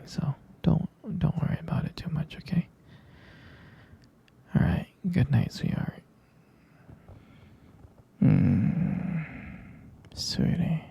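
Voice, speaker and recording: A man playing a gentle, reassuring young vampire boyfriend in an intimate sleep-aid ASMR roleplay, murmuring tenderly and hesitantly in a breathy, husky whisper. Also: mid-range voice, muffled recording, full character voice.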